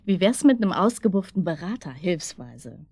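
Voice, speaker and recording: An actress playing a sharp-witted assistant to a police chief in a radio drama, offering ideas colloquially. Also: female voice, fairly low pitch, sing-song, normal speed, slightly rough, dry recording, clean studio sound, full character voice. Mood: thoughtful. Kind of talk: naturalistic German radio crime drama acting.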